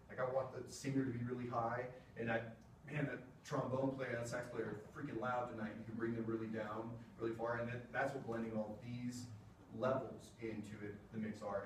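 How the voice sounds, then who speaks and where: A man's voice: mid-range voice, little pitch movement, brisk. A man giving a casual classroom lecture on sound equipment, explaining with plenty of fillers and asides, recorded from across the room. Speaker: music technology presenter. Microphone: room mic from a distance. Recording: echoey classroom from far off, faint hiss.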